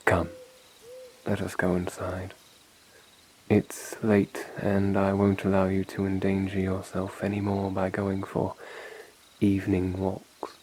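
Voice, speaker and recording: A stern male vampire, acted by a man, with a very low, dark voice. He speaks in a flat, formal, authoritative manner, coolly commanding, in an ASMR roleplay. Night insects sound faintly behind him. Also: slow, slight background noise, poor audio. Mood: composed, firm.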